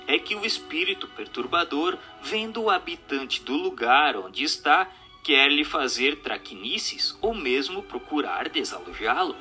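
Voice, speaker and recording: Um ator brasileiro interpretando uma voz solene e instrutiva numa radionovela espírita, em tom formal e declamatório. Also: male voice, fairly high pitch, sing-song, a little slow, hardly any pauses, over music. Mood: solemn, instructive. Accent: Brazilian Portuguese. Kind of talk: scripted radio drama reading of a spiritist question-and-answer dialogue.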